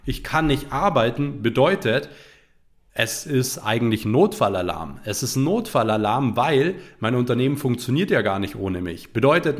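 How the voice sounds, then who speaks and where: Earnest man with a cold, motivational talk. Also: mid-range voice. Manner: earnest, repetitive, frequent "ja" fillers. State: has a cold. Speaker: podcast host. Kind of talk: motivational podcast monologue.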